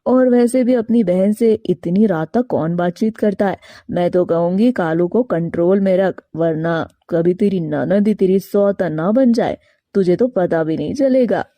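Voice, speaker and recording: A woman plays an older mother pigeon in an animated moral tale. She is doting and meddling, drawing out her words slowly. The voice is soft-edged and very dry. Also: on the low side, no echo, pitched below the performer's own voice. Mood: wary, advising. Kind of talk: Hindi animated moral story character.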